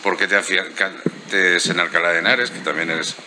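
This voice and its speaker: A man's voice: sits low, normal speed, slight background noise. A middle-aged man hosting a casual interview in a lounge bar, chatting easily with a handheld mic. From Spain. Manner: relaxed, chatty, with fillers. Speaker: host.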